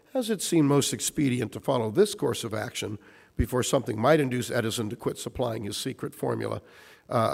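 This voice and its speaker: A man with a hoarse, croaky voice reading a prepared history lecture to an audience in formal, wordy phrasing, often clearing his throat. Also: mid-range voice. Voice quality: croaky, recovering voice. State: hoarse, getting over voice loss. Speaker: speaker giving a history presentation. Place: large echoing room with a presentation screen. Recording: reverberant hall sound.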